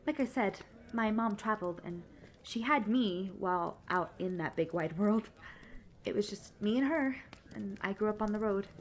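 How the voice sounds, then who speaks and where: A woman playing a cheerful, polite young travelling bard who chats brightly and directly to the listener in a fantasy audio roleplay. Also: mid-range voice, moderate pace, close to an everyday voice. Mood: cheerful, chatty. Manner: chatty, bubbly, polite.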